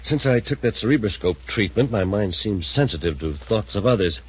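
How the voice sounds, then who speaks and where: An actor playing a troubled male scientist in a 1950s radio adventure, speaking in a measured, solemn, formal way. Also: lowish voice, lively intonation, husky. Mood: troubled, disbelieving. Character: grave, troubled male scientist, a learned doctor. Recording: muffled 1950s radio recording.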